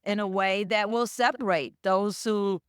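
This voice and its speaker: A middle-aged woman with a Southern accent speaks in a smooth, clear voice, measured and emphatic as she answers an interviewer. The sound is dry and close, with no room around it. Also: medium pitch, fairly even intonation, dry recording. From Chicago with Southern family roots. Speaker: guest, DEI director.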